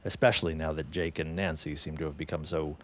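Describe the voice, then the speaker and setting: An actor playing a courteous, earnest gentleman in a period audio drama, with a genial, expressive manner. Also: very deep voice, quick pace, slight background noise. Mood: earnest, confiding. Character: courteous, earnest widowed man, eager suitor, 1910s drama.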